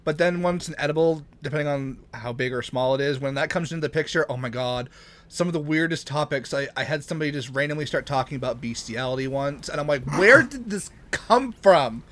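A man with a fairly high voice shares an anecdote in loose podcast conversation. The track is slightly noisy and clicky. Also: expressive, fairly quick, a bit bright. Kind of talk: casual anecdote in podcast chat.

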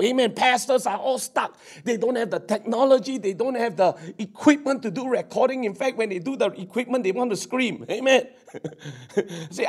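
Hoarse fervent Singaporean pastor man. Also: high voice. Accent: Singaporean English. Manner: fervent, repetitive, peppered with "Amen". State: hoarse from preaching. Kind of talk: sermon with prayer. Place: church service.

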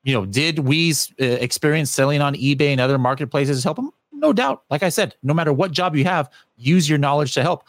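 A man's voice: a little high, animated, fairly quick, few pauses, no echo. A man hosting a podcast in casual interview chat, rambling with many fillers and restarts. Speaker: podcast host.

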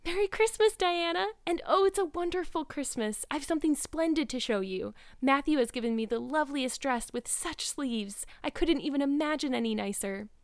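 Storybook heroine voiced by a woman: gushing, rapturous little girl, pitched high, dreamy and exclamatory. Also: moderate pace, few pauses, no echo, studio quality. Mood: excited.

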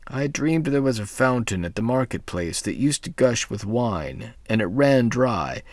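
A man voicing an old grandmother, gruff but kindly, talking softly and low. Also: sing-song, a little slow, no room sound. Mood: coaxing.

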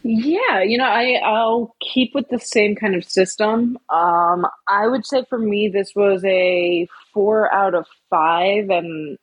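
Husky-voiced woman podcast host. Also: medium pitch, takes it slowly, few pauses, highs cut off. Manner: thoughtful, hesitant, many ums, laughs lightly. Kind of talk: casual podcast discussion.

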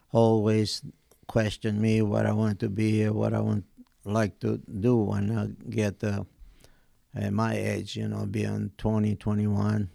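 An Italian man of eighty with an Italian accent, telling his life story in halting, hesitant English as an interview guest. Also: on the low side, gravelly, dark tone, dry recording, clean studio sound. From southern Italy. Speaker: podcast guest, retired tailor.

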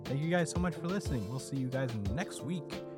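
A man hosting a casual podcast, rambling with fillers, his voice getting raspy. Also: on the high side, a little fast, over loud music. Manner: laid-back, rambling with many fillers. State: voice going raspy. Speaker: podcast host.

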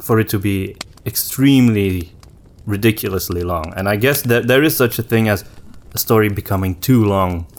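A man co-hosting a podcast in casual conversation, with hesitant, halting phrasing and repeated words. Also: lowish voice, a little hiss or hum. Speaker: podcast co-host and story writer.

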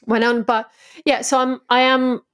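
A woman's voice: a little high, little pitch movement, measured pace, fairly bright tone, clean tone. Chatty Brazilian-born woman with English accent. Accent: southern English. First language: Portuguese. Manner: chatty and laughing, with many restarts. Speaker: podcast guest. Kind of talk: casual chat.

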